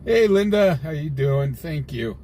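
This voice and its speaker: Slow, lively American man chatting on livestream. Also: fairly high pitch. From United States. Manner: folksy, joking. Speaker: livestream host, independent scanner chaser. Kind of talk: casual livestream chat with viewers. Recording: live raw stream with vehicle noise. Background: faint vehicle and ambient noise.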